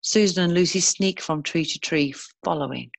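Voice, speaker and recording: A woman narrating a screenplay, reading scene headings and stage directions in a measured way. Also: on the low side, vocal fry, no echo.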